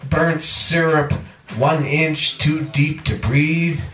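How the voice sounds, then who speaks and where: A poet with a high, forceful voice reads his work at a very slow pace. The man leans into drawn-out, repeated phrases like an incantation. He is recorded in an echoey room, some way from the microphone. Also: level tone, distant mic, soft background music. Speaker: featured poet at a reading. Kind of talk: live poetry recitation. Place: indoor cafe poetry reading.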